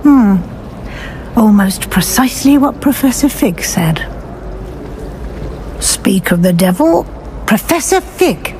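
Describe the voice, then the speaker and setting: A stern, wry schoolmistress witch with a British accent. Her voice sits fairly high and her delivery is precise and brisk, game dialogue over faint ambient noise. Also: very animated, darkish tone, rough recording. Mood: wry, probing. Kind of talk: scripted video game dialogue.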